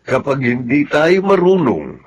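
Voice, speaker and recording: An actor playing a determined, reassuring farmer husband in a Filipino radio drama. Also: male voice, mid-range voice, huge pitch swings, a little slow, lo-fi sound. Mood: firm, coaxing.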